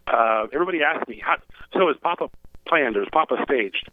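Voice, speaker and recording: A male stage performer who is a guest on a radio show, telling lively anecdotes over the phone and laughing as he talks. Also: mid-range voice, a little fast, no echo. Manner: animated storyteller who laughs while talking. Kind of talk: phone interview. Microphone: telephone. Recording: tinny phone line.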